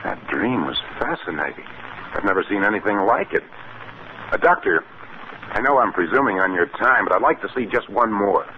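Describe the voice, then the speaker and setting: An actor playing an eager, inquisitive government official in a 1950s radio drama. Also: male voice, fairly low pitch, animated, moderate pace, close to an everyday voice. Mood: curious. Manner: questioning, eager. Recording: narrow-band old radio recording, faint noise.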